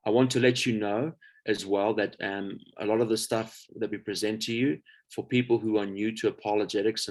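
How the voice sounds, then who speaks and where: A man delivers an informal lecture in a low, easygoing voice. He talks in a relaxed, chatty way and fills his pauses with little hesitation sounds. He is heard over a video call. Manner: relaxed and chatty, with frequent um fillers. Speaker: guest speaker, apologetics lecturer.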